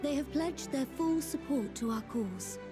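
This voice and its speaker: A woman's voice: high-pitched, expressive, a little slow. A woman playing a gracious, resolute young sovereign in a fantasy video game, speaking in a formal, courtly way. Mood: hopeful, resolute. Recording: long reverb, game music underneath.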